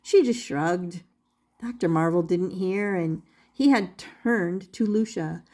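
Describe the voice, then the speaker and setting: An older woman reading a children's picture book aloud expressively, deliberately drawing out key words as a storyteller. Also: lowish voice, a little mellow.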